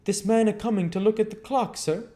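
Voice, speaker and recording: Storybook role: deferential woman innkeeper done by a man, pitched far up, polite and anxious to please. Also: brisk, dark tone, lightly acted. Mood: nervous, polite. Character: deferential female innkeeper, eager to please her guest. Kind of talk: storybook character voice in an audiobook reading.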